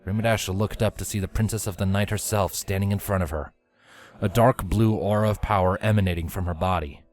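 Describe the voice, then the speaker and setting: A man with a fairly low voice narrates a written story. He reads it as a scripted third-person tale. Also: steady tone, fairly quick, soft background music. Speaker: narrator of fan fiction.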